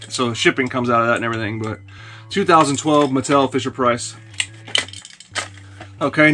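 A man in his forties casually talking through thrifted toys in a small shelf-lined room, relaxed and rambling with many fillers. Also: medium pitch, slight background noise. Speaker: toy reseller vlogger. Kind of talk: casual haul video. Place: small indoor toy room lined with shelves.

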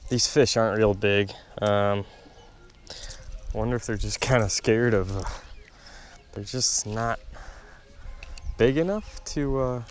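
A man's voice: mid-range voice, slight background noise. Young man talking to himself while fishing. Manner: muttering to himself, then excited and laughing. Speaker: fishing vlogger. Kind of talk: solo outdoor fishing commentary. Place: small boat on an open lake. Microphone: camera mic on the boat, wind and water around.

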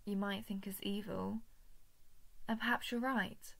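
Woman doing a creepy female alien parasite for horror audio, slow n gravelly fry, whispery, fake-sweet and condescending. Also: medium pitch, steady tone, fairly bright tone. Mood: calm menace. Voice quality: creaky, breathy with frequent whispering. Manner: measured, condescending, menacing coaxing. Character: sinister female alien parasite, coldly menacing, falsely gentle. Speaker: audio roleplay performer. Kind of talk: slow intimate sci-fi horror audio roleplay.

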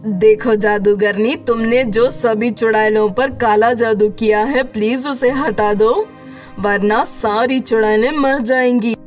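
A woman's voice: fairly high pitch, steady tone, takes it slowly, soft background music. A woman voicing a kind, earnest young female witch in a cartoon story. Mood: pleading.

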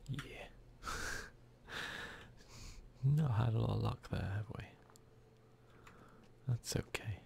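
A man's voice: on the low side, takes it slowly, creaky, pauses often, warm tone, slight background noise. A man with a British accent giving casual, whispered ASMR commentary as he plays a video game. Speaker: gaming video host.

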